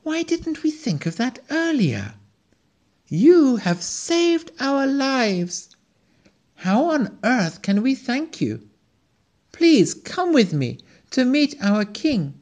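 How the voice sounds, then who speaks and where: An excitable male squirrel from a storybook, put on by the narrator, his voice jumping high and swooping through wide leaps of pitch. He exclaims nearly everything in a quick, high-strung way. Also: heavily acted. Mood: grateful. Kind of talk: storybook reading.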